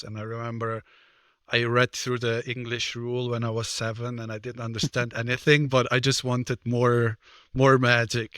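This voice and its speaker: Bright, clear-voiced man from Switzerland. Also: medium pitch, dry recording. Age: 30s. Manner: hesitant, many fillers and restarts. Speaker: guest, personal trainer and card game player. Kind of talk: casual interview talk.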